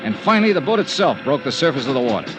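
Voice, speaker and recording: An American man acting a dry, wry hard-boiled insurance investigator in a 1950s radio detective drama, with clipped, deliberate delivery. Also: mid-range voice, sing-song, noisy background, close to an everyday voice. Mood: grave, suspenseful. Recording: vintage radio recording with music behind.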